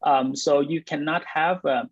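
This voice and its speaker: A man's voice: on the high side, fairly even intonation, measured pace, gravelly, dry recording. Hesitant Vietnam-born man, dry lo-fi sound. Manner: hesitant, many fillers and restarts. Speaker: blockchain company co-founder and CTO, guest. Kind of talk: casual interview answers.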